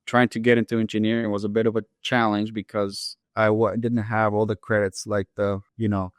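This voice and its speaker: A man's voice: fairly low pitch, flat delivery, fairly quick, no room sound, studio quality. Earnest man. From Latin America. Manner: hesitant, many fillers, earnest. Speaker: guest, engineer. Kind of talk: interview answers.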